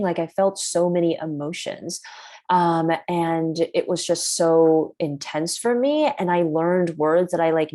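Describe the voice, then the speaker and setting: Woman hosting a workshop, first language chinese, low mellow voice, keeps saying like. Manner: chatty, heavy use of "like". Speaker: workshop host. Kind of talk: casual workshop talk.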